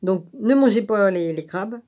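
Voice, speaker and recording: A woman's voice: mid-range voice, moderate pace, mellow. Blunt, irritated woman ranting informally. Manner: blunt, irritated, crude colloquial speech; sarcastic, punctuates phrases with rhetorical tags. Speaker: vlogger. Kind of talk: rambling informal rant.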